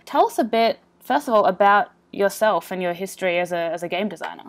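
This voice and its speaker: A woman's voice: mid-range voice, animated, fairly quick. A woman hosting a podcast interview, asking questions in a friendly, upbeat way. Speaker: podcast host.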